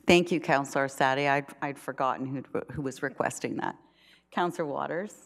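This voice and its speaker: A low-pitched woman chairs a town council meeting as mayor. She speaks in halting stretches, restarting phrases and filling pauses as she steers the votes. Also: gravelly. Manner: halting, many restarts and fillers.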